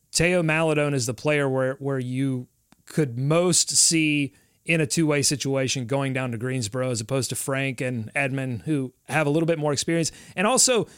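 A man's voice: a little high, moderate pace, smooth, no room sound, studio quality. A man co-hosting a sports podcast in casual discussion, conversationally thinking aloud as he talks.

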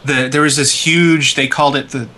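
Man, bright forward voice, casual podcast co-host, slight background ambience. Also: medium pitch, steady tone, normal speed. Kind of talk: casual gaming podcast chat. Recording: faint background ambient noise.

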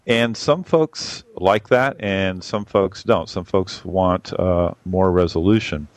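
A man with a boomy voice giving a rambling, casual camera review full of fillers and restarts. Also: slow, no room sound. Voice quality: boomy on a mic. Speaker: photography podcast regular reviewing cameras.

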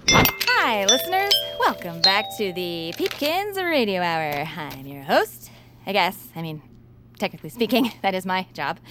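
A woman playing a nervous, apologetic, self-doubting young radio host in a scripted audio drama, speaking haltingly. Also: mid-range voice, very animated, background noise. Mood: flustered, apologetic.

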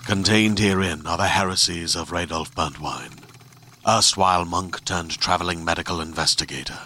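A man announcing a dark podcast promo with a grave, ominous delivery. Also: low voice, normal speed, a little hiss or hum.

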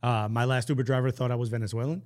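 Fast-talking joking man. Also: mid-range voice, steady tone, a little mellow. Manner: joking, slangy banter. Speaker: podcast co-host. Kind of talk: casual soccer chat.

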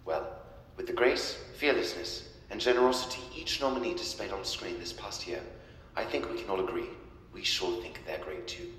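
Guy doing solemn pompous awards presenter, big echo, rumbly noise. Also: moderate pace, a bit bright, lightly acted. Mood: grandiose. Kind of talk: audio drama acting. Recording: echoey hall with rumbling noise.

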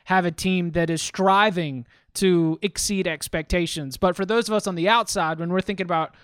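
A man's voice: high-pitched, fairly even intonation, normal speed, a bit bright, clear, resonant tone, no echo, clean studio sound. A man hosting a sports podcast, talking things through casually and often restarting his words. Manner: rambling, repeats words while thinking.

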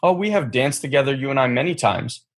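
A man voicing a kind, loving soul in a storybook reading, with a gravelly, husky voice. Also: medium pitch, expressive, fairly quick, natural voice. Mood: tender.

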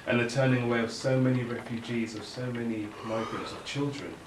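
A man's voice: mid-range voice, steady tone, fairly quick, lightly acted. An actor playing an earnest, reflective father facing climate upheaval in a naturalistic audio drama. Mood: anxious. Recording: roomy, distant, noisy room recording.

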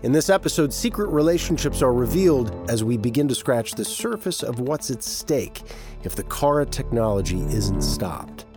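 A man's voice: mid-range voice, animated, normal speed. A man reading a scripted podcast introduction as its presenter. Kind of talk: scripted podcast promo narration. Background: music.

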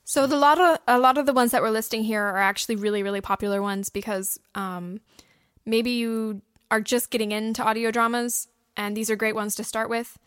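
High-voiced woman chatting, dry close sound. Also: steady tone, fairly quick, fairly bright tone, smooth, no room sound. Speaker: podcast co-host. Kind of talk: casual chat recommending audio drama podcasts.

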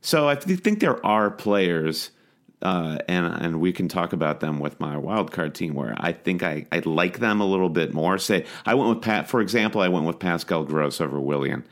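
A man co-hosting a casual podcast chat, speaking in a measured, matter-of-fact way. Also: deep voice, lively intonation. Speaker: podcast co-host.

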